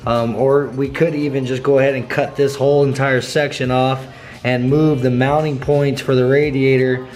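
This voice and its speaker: A young man with a slightly hoarse voice, walking through a car build in a casual vlog and tossing in dude and bro. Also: medium pitch, flat delivery, moderate pace, darkish tone. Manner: laid-back slangy, says dude and bro. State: slightly hoarse, losing his voice.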